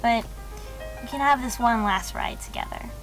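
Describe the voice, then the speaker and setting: A woman's voice: fairly high pitch, wildly swooping pitch, normal speed, vocal fry, music in the background, full character voice, rough recording. A young woman playing a sweet, wistful, lovestruck date in a comedic game cutscene. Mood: tender, wistful. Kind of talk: live-action game cutscene acting.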